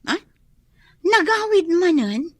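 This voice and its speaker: A woman's voice: very high voice, big pitch swings, slow, dry recording, studio quality, exaggerated voice. An actress playing a hardworking female office employee in an Ilocano radio comedy, matter-of-fact. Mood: plain.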